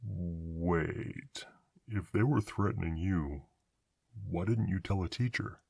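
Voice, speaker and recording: A man playing a gentle, anxious young monster student in an audio roleplay, earnest and apologetic. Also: low voice, takes it slowly, gravelly, slightly rough, no room sound, studio quality, heavily acted. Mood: concerned.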